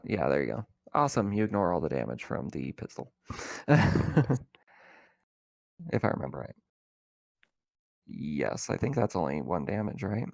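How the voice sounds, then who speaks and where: A man's voice: deep voice, gravelly, a little mellow, no echo, studio quality. A man acting as game master in a casual tabletop roleplaying session, explaining things informally.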